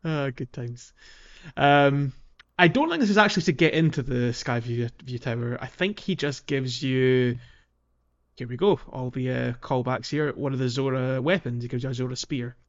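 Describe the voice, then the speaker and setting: A man's voice: medium pitch, normal speed, dull audio. A man co-hosting a gaming podcast in casual, conversational chat. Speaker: podcast co-host.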